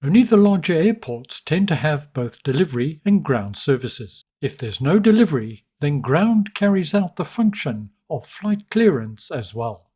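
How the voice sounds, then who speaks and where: A man's voice: on the high side, sing-song, normal speed, hardly any pauses, dark tone, slightly rough. A man narrating an instructional tutorial in an orderly, explanatory way. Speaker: flight sim tutorial narrator.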